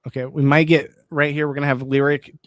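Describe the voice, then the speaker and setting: A man's voice: fairly high pitch, little pitch movement, quick pace, dry recording, studio quality. Chatty male streamer, voice wearing thin. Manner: rambling, reads chat aloud, restarts words. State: voice going hoarse. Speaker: live stream host. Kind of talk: casual live stream chat.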